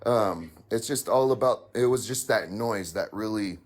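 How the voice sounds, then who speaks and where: A man calling in to tell a paranormal story, halting and full of fillers, with a raspy voice. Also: lowish voice. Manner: halting, many fillers and restarts. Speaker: caller sharing a paranormal story. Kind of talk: hesitant casual storytelling.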